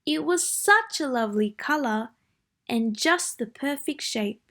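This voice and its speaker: A high-pitched woman narrates a rhyming picture book for children. She takes her time over each phrase, with a deliberate sing-song lilt. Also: expressive, fairly bright tone. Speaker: children's story reader. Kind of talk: read-aloud storybook narration.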